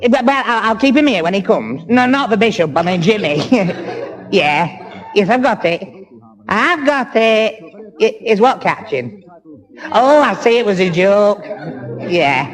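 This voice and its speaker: An actor playing a dim but good-natured young Northern English man in a radio sitcom, muddled and stammering. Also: high-pitched. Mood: flustered, confused. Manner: gormless, stammering, confused, giggly. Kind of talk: 1960s radio sitcom acting.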